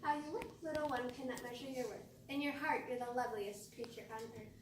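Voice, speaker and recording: A teenage girl playing a kind, encouraging young pet owner, reciting rhymed lines gently. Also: a little high, a little fast, close to an everyday voice. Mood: tender. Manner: gentle, reassuring. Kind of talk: rhymed readers theater performance. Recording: echoey hall, distant mic, faint noise.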